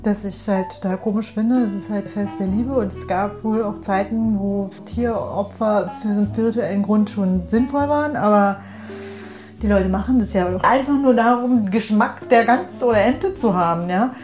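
A woman's voice: mid-range voice, normal speed, a little mellow, soft background music. A woman in a casual, conversational chat, often ending her thoughts with little tag questions that seek agreement. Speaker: guest in a conversation.

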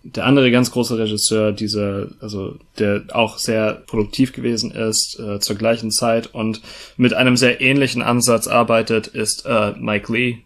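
A man's voice: on the low side, level tone, normal speed, fairly bright tone. A man in his thirties co-hosting a casual film podcast, speaking hesitantly with many ums and uhs. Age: 30s. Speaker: podcast co-host. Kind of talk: casual film review chat.

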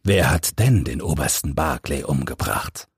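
Casting: male reader as a calm, firm detective, lowered voice, brisk and matter-of-fact, dryly recorded storybook dialogue. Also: husky, dry recording, studio quality, exaggerated voice. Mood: firm, probing. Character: composed, probing gentleman investigator confronting a suspect.

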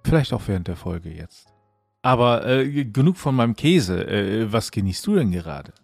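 A man's voice: fairly low pitch, big pitch swings, normal speed, vocal fry, dry recording, soft background music. A man co-hosting a podcast in casual conversation. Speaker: podcast co-host.

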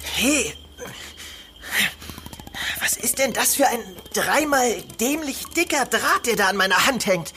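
A cheeky little plastic boy come to life in a children's radio play. He is helpful and spirited, with a very lively melody and unhurried delivery. Faint ambience sits behind the voice. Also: a little high, a little hiss or hum, full character voice. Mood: annoyed. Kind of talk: German children's audio drama acting.

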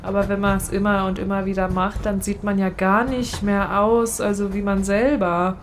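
A woman's voice: medium pitch, little pitch movement, moderate pace. A young adult woman co-hosting a podcast in casual chat, chatty and full of fillers. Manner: chatty, many fillers like "also" and "ne". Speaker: podcast co-host. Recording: noisy recording.

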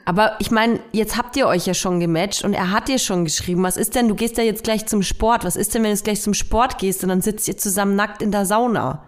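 A woman's voice: mid-range voice, a little fast, few pauses. A young woman co-hosting a podcast, chatting casually with a friend in a teasing, slangy way. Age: young adult. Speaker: podcast co-host. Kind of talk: casual chat between friends.

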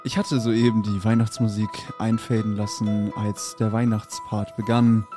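Casting: male improv comedian, fairly low voice, faint plucked-guitar music behind. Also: level tone, normal speed, dark tone. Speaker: comedy podcast performer. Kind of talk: improvised comedy audio play.

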